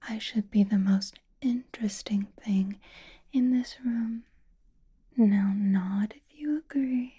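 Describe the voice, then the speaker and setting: Female vampire played by a woman, ASMR roleplay. Very breathy whisper, unhurried, teasing, possessive, quietly commanding. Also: on the high side, full character voice. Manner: slow, coy, intimate, commanding.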